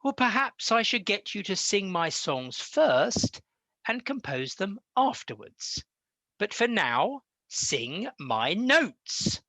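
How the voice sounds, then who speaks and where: A man's voice: high-pitched, big pitch swings, slow, sharp tone, no echo, exaggerated voice, poor audio. A man playing a wry, exacting Victorian composer in an amateur radio play. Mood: exasperated.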